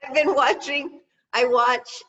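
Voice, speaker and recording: A woman in her sixties chatting easily as a podcast host, joking and laughing as she goes. Her voice sits a little high, and the video-call audio is dull and lo-fi. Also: steady tone, slow pace, fairly bright tone. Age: 60s. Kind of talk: casual chat over a video call.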